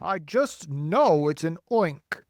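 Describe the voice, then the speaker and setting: A man voicing an excitable, alarmist fire chief in a storybook, with a dramatic, fretful delivery. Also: high voice, measured pace, no echo, studio quality, pitched above the performer's own voice. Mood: panicked. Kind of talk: storybook character acting.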